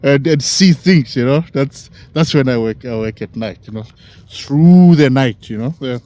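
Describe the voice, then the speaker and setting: A man in his sixties from Africa, talking in a halting, rambling way, full of "you know" fillers. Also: medium pitch, warm tone, dry recording. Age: 60s. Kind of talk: casual rambling monologue.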